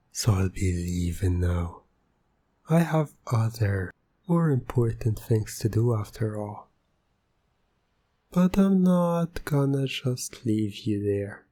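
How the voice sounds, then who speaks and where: A man playing a teasing, domineering hypnotist in an ASMR roleplay, breathy and often near a whisper, with a deliberate, commanding manner. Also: lowish voice, dark tone, exaggerated voice. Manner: slow, deliberate, commanding, taunting. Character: teasing, domineering male hypnotist, smug and in control. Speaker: roleplay audio performer.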